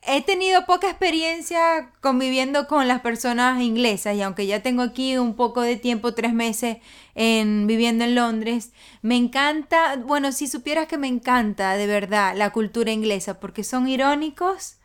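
A woman's voice: a little high, normal speed, smooth. A woman from Venezuela with a Venezuelan accent, chatting as a guest in a casual interview, often restarting and repeating words. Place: London.

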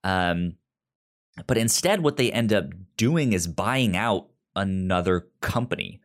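Slow, stammering male podcast host. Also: on the low side, lively intonation. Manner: slow, halting, with frequent stutters and restarts. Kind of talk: casual podcast chat.

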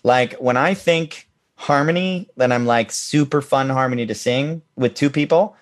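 A man co-hosting a podcast in casual chat, talking haltingly with a deep voice. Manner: halting, many fillers and restarts, laughs often. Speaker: podcast co-host.

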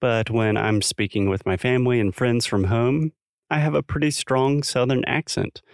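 A man's voice: mid-range voice, normal speed, gravelly, no echo, clean studio sound. A man from South Carolina co-hosting a podcast, talking thoughtfully and explaining with examples in a casual chat. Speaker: podcast co-host.

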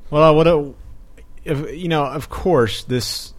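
A man's voice: on the high side, slow. Man hosting a casual podcast. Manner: reads news items aloud, then riffs with hesitant fillers. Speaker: podcast host reading news.

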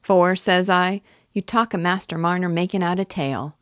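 A woman's voice: on the low side, steady tone, moderate pace, dry recording, studio quality, close to an everyday voice. A woman voicing an old male English village busybody with a rural dialect, self-important and neighborly, in a storybook reading. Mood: garrulous, opinionated. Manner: rambling, opinionated, advice-giving.

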